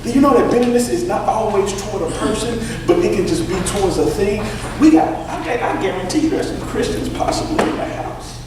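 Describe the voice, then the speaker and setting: Male pastor, high voice, folksy sermon, booming reverberant church, caught from a distance. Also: lively intonation, quick pace, hardly any pauses, background noise. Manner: swings between low intimate talk and shouted preaching; folksy, teasing the congregation. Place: large church hall. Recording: echoey hall from far off. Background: congregation responding.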